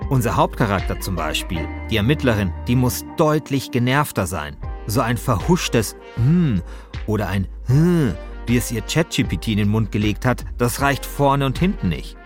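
Male host, mid-pitched, narrating and chatting informally over soft music. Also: big pitch swings, moderate pace. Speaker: podcast host. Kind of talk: narration and casual chat.

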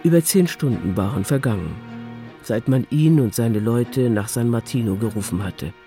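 A man narrating a radio crime drama in a calm, composed literary style. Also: medium pitch, normal speed, soft background music.

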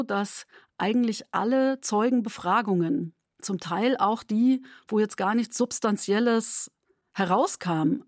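A woman with a mid-pitched voice talks as a radio dramaturg in an interview. She explains things in an engaged, spontaneous way, recorded very dry. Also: a little mellow, no room sound.

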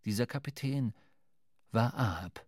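Guy narrating an adventure radio play, intense and measured, mid pitch, dry sound. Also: expressive, a little mellow, no room sound. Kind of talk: dramatic literary narration of an audio drama.

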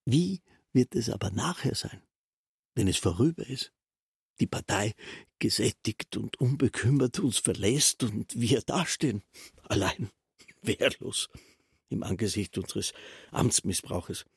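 A verbose, resigned castle official in a radio drama. His voice is extremely raspy and breathy with a gravelly creak, recorded close and dry. He ruminates in long, halting, insistent clauses. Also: lowish voice, lively intonation, dry recording, heavily acted. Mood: brooding resignation. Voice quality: husky, breathy rasp. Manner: halting, ruminative, builds long elaborate sentences. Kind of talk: German literary radio play acting.

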